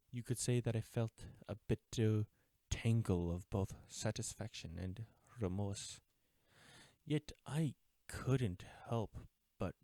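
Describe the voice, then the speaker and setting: An actor playing an uptight government agent in an audio roleplay, speaking formally and deliberately, smug yet mourning a lost friend. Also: male voice, on the low side, warm tone, dry recording. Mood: wistful, intense. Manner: formal, deliberate, taunting with wistful undertones. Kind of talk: scripted audio roleplay drama, addressing the listener.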